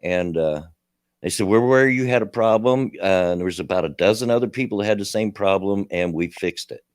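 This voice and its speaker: A man's voice: on the low side, a little fast, no echo, clean studio sound. A middle-aged man with a Kentucky and Tennessee redneck accent, hosting a casual livestream and rambling with a self-deprecating laugh. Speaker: livestream host.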